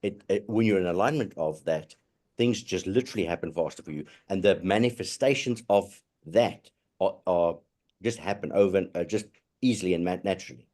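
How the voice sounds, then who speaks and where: A man in a casual group discussion, talking haltingly with many restarts and murmurs of agreement. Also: low voice, darkish tone. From South Africa.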